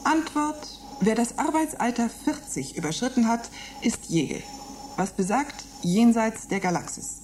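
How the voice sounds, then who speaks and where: A woman's voice: on the high side, lively intonation, unhurried, noisy background. An actress playing a bored, rebellious older woman in a radio play, grumbling and reciting with mocking scorn. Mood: scornful reciting.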